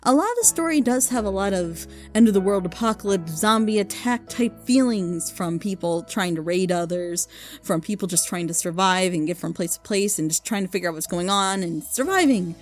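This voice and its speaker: A woman giving a casual, unscripted review as a video host, rambling informally and with enthusiasm. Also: medium pitch. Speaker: video host recommending fan audio dramas.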